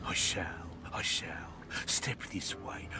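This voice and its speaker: A man's voice: slow pace, in a whisper, lightly acted. A man playing a sly, greedy undead ferryman in a video game, with a theatrical, mock-courteous manner. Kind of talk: video game character acting. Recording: music behind the voice.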